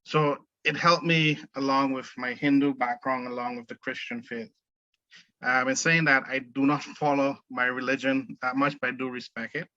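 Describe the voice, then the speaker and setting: Man, middle-aged, from the Caribbean, earnest, measured, bright voice, dull recording. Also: mid-range voice, steady tone, no room sound. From Caribbean, born in Trinidad, raised in Barbados. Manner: measured, earnest, many fillers and restarts. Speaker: guest, entrepreneur. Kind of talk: casual interview conversation.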